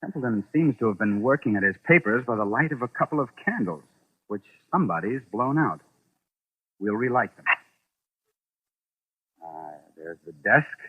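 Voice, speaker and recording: Commanding investigator, old phone-like radio recording. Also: male voice, medium pitch, lively intonation, breathy edge. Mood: explaining, ominous. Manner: authoritative, knowing, deliberate. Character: shrewd, commanding man investigating a sinister mystery. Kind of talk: 1940s radio suspense acting.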